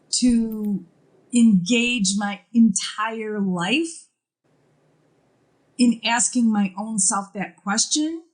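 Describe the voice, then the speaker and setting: A woman's voice: on the high side, rough recording. A woman in a casual group podcast chat, inspirational and fond of repeated rhetorical questions. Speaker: podcast guest.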